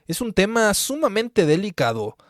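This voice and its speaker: A man's voice: sits high, big pitch swings, moderate pace, no echo, studio quality. A man in his twenties with a Mexican accent, narrating a scripted video essay as its host. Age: 20s.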